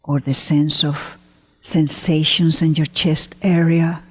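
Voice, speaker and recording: A woman leading a guided meditation as a teacher, gentle and unhurried, pausing often between short phrases in a breathy voice. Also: low-pitched, level tone. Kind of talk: guided meditation, spoken slowly to listeners. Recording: long hall-like reverb.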